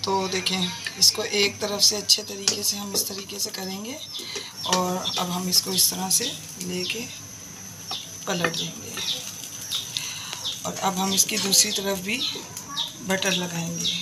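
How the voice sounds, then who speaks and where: A woman's voice: mid-range voice, flat delivery, slow, long pauses. Woman, Pakistani Urdu accent, cooking channel host, chatty step-by-step voice-over, noisy home kitchen recording. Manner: chatty, repeatedly points things out to viewers.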